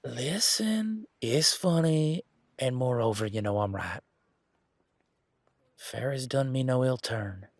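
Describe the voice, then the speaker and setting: A man playing an easygoing, teasing country-boy werewolf bodyguard in a roleplay audio drama, talking in a folksy, informal way with drawn-out hesitations. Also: mid-range voice, expressive, no room sound. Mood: teasing, fond. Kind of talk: roleplay audio drama, one-sided conversation.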